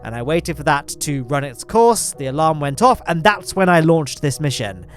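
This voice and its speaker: English male gaming host, a bit high, nasal and stuffy, loose rambling commentary. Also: sing-song, moderate pace, faint music behind. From England. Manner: rambling, self-deprecating, lots of fillers and restarts. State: congested from hay fever. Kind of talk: chatty gameplay commentary.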